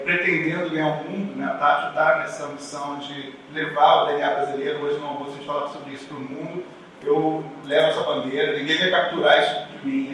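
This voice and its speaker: A man's voice: a little high, a bit bright. A man from Rio de Janeiro with a Carioca accent gives an informal, reflective conference talk, telling his story with conversational asides to the audience. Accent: Carioca Brazilian Portuguese. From Rio de Janeiro, Brazil. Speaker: conference speaker, designer. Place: large echoey indoor event room. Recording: very echoey hall, distant mic, faint noise.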